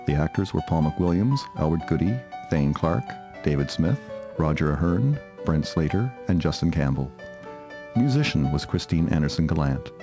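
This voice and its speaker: A man with an extremely low voice reads scripted closing credits as an announcer. Guitar music plays clearly behind him. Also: expressive, normal speed.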